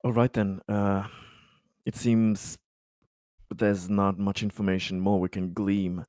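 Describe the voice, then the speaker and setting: A man playing a courteous, formal colony leader who speaks in a halting, polite way in a tabletop game. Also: on the low side, flat delivery, a little mellow, no echo, clean studio sound. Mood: measured, diplomatic.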